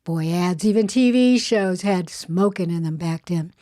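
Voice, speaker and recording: An older woman with a soft voice hosting a casual spoken segment, chatting with frequent fillers and restarts. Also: lowish voice, measured pace. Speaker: show host. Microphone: earbuds headset.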